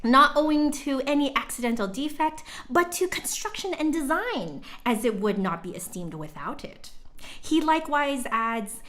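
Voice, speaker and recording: Lively, high-pitched woman reading to viewers. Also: moderate pace. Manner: informal, breaks off to comment to viewers. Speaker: livestream host and reader. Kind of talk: dramatic reading of an old text with casual chatty asides.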